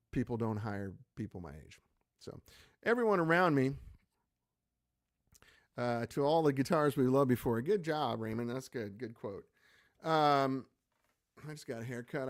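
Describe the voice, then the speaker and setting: A man in his late fifties, an amp maker hosting a live web show, chatting candidly and reading out viewer comments, with lots of ums and uhs as he rambles on. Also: mid-range voice. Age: 50s. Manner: rambling and candid, with many fillers.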